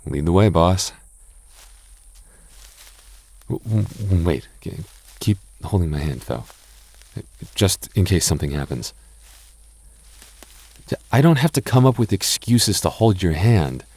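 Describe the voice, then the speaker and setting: A man voicing a gentle, shy goth boyfriend in an ASMR roleplay, soft-spoken and stammering as he reassures his listener. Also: low voice, animated, measured pace, gravelly, heavily acted. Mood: relieved. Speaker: voice actor.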